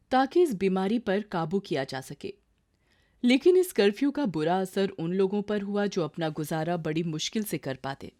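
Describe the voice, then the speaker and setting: A woman's voice: fairly low pitch, darkish tone. A woman reading a scripted story as its narrator.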